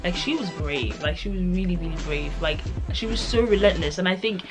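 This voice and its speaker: A woman's voice: lowish voice, a little fast, music in the background. A young woman reviewing a book in a chatty, rambling way, full of "like" and asides. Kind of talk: casual book review vlog.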